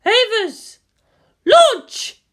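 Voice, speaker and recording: A fierce female military commander, played by a woman narrator, pitched high and bright above everyday talk. She gives forceful, emphatic orders with the weight of an officer in charge. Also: very animated, extremely slow, heavily acted. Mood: urgent shouting.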